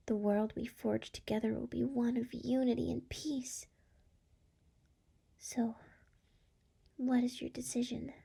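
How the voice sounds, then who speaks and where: A woman voicing a seductive, tender demon lord in an intimate ASMR roleplay, speaking softly and coaxingly with a breathy, often whispering voice. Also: a little high, exaggerated voice. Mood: persuasive, earnest. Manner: slow, soft, coaxing. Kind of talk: scripted ASMR roleplay, intimate address to listener.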